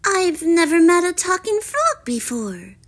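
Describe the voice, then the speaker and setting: A woman's voice: very high-pitched, huge pitch swings, a little slow, fairly bright tone, voice pushed up, heavily acted. A woman voicing a pampered, sulky young princess in a storybook, whiny, petulant and dramatic. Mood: surprised, haughty.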